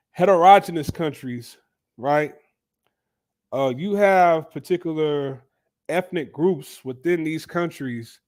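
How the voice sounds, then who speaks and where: A man with a stuffy cold voice hosting a loose, casual commentary video, thinking aloud with fillers and repeats. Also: a little high. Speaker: commentary channel host.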